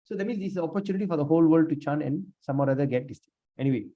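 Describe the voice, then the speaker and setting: A man leading a scripture class, speaking haltingly with many fillers and restarts while he has lost his voice. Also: a little high. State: lost his voice, sprained neck. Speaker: class leader. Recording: dull lo-fi room recording.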